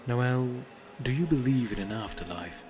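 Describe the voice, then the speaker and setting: An actor playing a polite, unflappable, eccentric reaper in an audio drama, speaking formally and with fussy precision. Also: male voice, mid-range voice, expressive, darkish tone, faint music behind, close to an everyday voice. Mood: calm, fussy. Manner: formal, measured, fussily precise.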